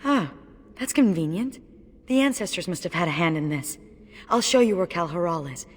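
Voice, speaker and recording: A woman's voice: fairly low pitch, animated, moderate pace, long reverb tail. An actress playing a plucky, wry woman warrior, a chatty lone survivor in a video game.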